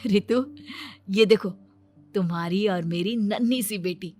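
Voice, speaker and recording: A woman's voice: medium pitch, slow, dark tone, faint music behind, lightly acted. Woman playing caring, flustered young husband Devesh. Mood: tender. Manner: reassuring, coaxing. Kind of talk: dramatized Hindi family moral story.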